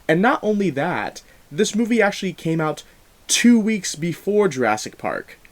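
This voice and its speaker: A man hosting a film review show, talking in a casual, animated and emphatic conversational way. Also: high-pitched, normal speed.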